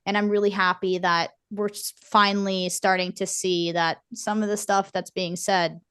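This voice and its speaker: A woman's voice: mid-range voice, moderate pace, clear, resonant tone, no echo, clean studio sound. A woman talking passionately and animatedly in a podcast interview, acting out what others say. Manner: passionate, animated, quotes people in voices. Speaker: non-profit board member and content creator.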